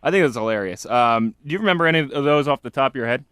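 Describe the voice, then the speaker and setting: A man's voice: mid-range voice, expressive, rapid-fire, a bit bright, clear, resonant tone, no echo, studio quality. A man co-hosting a radio show, asking long questions full of fillers. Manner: hesitant, filler-heavy questions. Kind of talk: casual interview.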